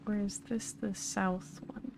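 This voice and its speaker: A woman's voice: mid-range voice, level tone, takes it slowly, gravelly, noisy background. A woman streaming a game, casually muttering to herself as she works out a puzzle.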